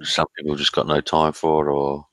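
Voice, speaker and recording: A man hosting a casual live stream chat, relaxed and bantering. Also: rumbling low, normal speed, dry recording.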